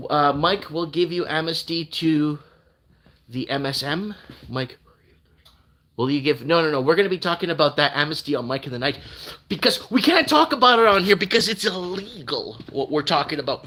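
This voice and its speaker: A man hosting a casual morning live stream, whose first language is Portuguese, talks in a bright, forceful voice pitched a bit high. He rambles, restarts, and repeats himself as he chats with viewers. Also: expressive, moderate pace. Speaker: host.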